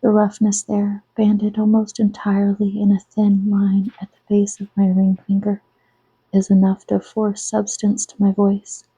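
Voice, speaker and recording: A woman reading her own novel aloud in a soft-spoken ASMR style, slow and intimate, slipping into whispers. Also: mid-range voice, completely flat delivery, slightly rough, dry recording. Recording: dull lo-fi sound, close and dry.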